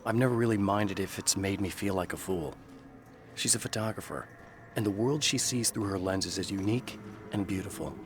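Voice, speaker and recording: A man's voice: on the low side, a little fast, noisy background, lightly acted. An actor voicing a weary male writer, narrating in a brooding, introspective way. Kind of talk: scripted reflective narration.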